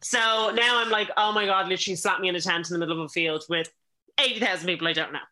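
Bright-voiced woman in her twenties. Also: on the low side, rapid-fire. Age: 20s. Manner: chatty, laughs often, quotes people in lively voices. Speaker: musician and producer. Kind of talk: casual podcast chat.

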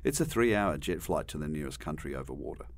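A man's voice: extremely low pitch, expressive, quick pace. A middle-aged man from New Zealand with a New Zealand accent, a guest telling stories in a rambling way, with many restarts and dry humor. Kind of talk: conversational interview storytelling.

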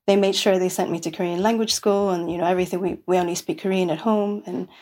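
A woman's voice: on the low side, fairly even intonation, fast, dark tone. A woman from New York City, a podcast guest, talking in a rambling casual way with many fillers and restarts. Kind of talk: casual interview chat.

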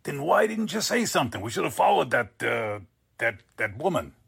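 An actor playing a bewildered, flustered newspaper boss, halting and exclamatory, in 1940s radio drama style. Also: male voice, on the high side, big pitch swings, vocal fry, a bit bright, slightly rough, no room sound, clean studio sound, exaggerated voice. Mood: alarmed.

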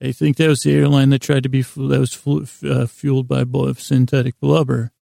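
Very creaky, rambling man. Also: mid-range voice, little pitch movement, mellow, dry recording, clean studio sound. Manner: meandering, halting, absent-minded, trailing off and doubling back. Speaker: podcast host. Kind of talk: rambling, whimsical sleep podcast monologue.

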